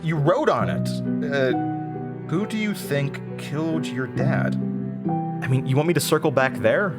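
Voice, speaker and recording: An actor playing an anxious, rattled man who haltingly recounts eerie memories, stammering and interrupting himself, in a horror audio drama. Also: a little high, expressive, over loud music. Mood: puzzled, wry. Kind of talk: first-person horror audio drama monologue.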